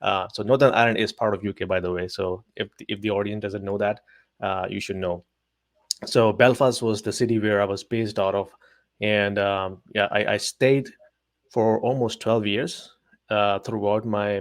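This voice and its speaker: Man, 40s, from Pakistan, low voice, dry recording, hesitant, conversational. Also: fairly even intonation, normal speed, no room sound. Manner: thoughtful, many hesitations and restarts. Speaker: telecom executive guest. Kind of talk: conversational interview answers.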